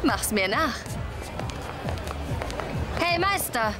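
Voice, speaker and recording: A cheeky young bride-to-be. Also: female voice, high voice, sing-song, very slow, full character voice. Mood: teasing. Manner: teasing, cheeky. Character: playful young engaged woman. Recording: music and crowd noise behind.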